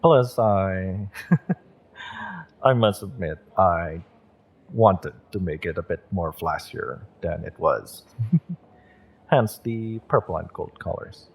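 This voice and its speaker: Man playing a deep, husky, unhurried fortune teller. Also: expressive, exaggerated voice. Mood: modest, amused. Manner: measured, mystical, reassuring. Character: gentle, encouraging male magick shop owner and tarot reader. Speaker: voice actor in an audio roleplay. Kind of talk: intimate scripted roleplay, speaking to the listener.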